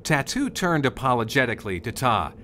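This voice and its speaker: Male narrator, scripted storybook read, mid pitch, noisy recording with clicks. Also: big pitch swings, brisk, pushed and bright.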